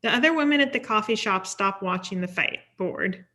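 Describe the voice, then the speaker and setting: A woman narrates a screenplay at a table read. Her voice is bright and forceful at a medium pitch, and she delivers scene headings and actions as scripted narration. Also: lively intonation, brisk. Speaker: stage directions reader.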